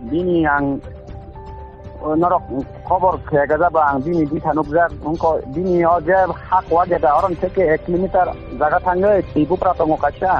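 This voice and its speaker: A man talking on a phone call in a halting way, repeating words and phrases. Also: fairly high pitch, steady tone. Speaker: caller. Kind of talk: phone conversation. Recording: muffled phone call recording, faint music behind.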